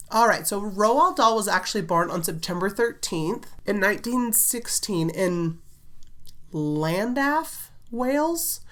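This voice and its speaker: A woman tells a story to her channel in a loose, chatty way, giggling and leaning on filler words. Her voice sits a little low and carries a slight stuffiness from a fading cold. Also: a bit bright. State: slightly congested, recovering from a cold. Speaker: children's book author and channel host. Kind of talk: casual storytelling vlog.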